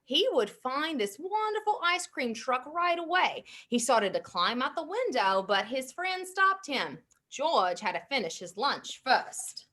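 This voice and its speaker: A woman storyteller reads a bedtime story to children. Her voice sits fairly high and moves through wide, lively swings of pitch. She takes her time, giving each phrase expression at a slow pace. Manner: expressive, animated delivery. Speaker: storyteller reading aloud.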